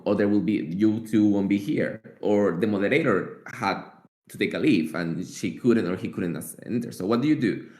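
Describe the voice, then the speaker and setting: Male, lowish voice, informal meeting talk, thinking aloud, reverberant room. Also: darkish tone. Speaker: working group participant. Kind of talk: casual meeting discussion. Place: online group call. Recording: echoey room sound.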